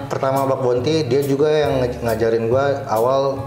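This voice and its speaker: A man's voice: medium pitch, steady tone, normal speed, darkish tone. A man with a colloquial Jakarta Indonesian accent, chatting informally and telling anecdotes as an interview guest. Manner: informal, slangy storytelling. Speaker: interview guest, cyber security supervisor. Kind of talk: casual chat, telling anecdotes. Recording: roomy echo with faint music underneath.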